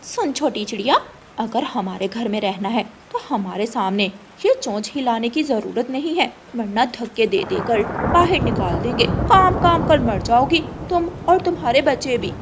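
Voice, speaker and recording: A woman's voice: on the high side, animated, moderate pace, noisy background. A woman voicing a nasty, greedy crow in a cartoon story, bossy and threatening. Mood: scornful. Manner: scolding, loud, threatening.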